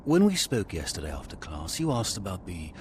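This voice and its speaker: An actor playing a formal, courteous wizard professor in a video game. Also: male voice, sits low, huge pitch swings, a little fast, darkish tone. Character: formal male wizard professor recalling a past visit. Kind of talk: scripted video game acting. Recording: noisy ambient background.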